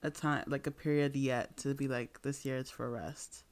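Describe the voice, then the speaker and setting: A young podcast co-host from Nigeria asks questions in a friendly, casual way. This host often pauses to search for words. The voice sits in a middle register. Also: mid-range voice, fairly even intonation. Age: young adult. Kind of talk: casual interview chat.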